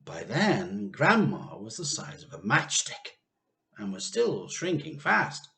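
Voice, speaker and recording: A man reading a children's story aloud with expressive, theatrical narration and dramatic pauses. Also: mid-range voice, creaky.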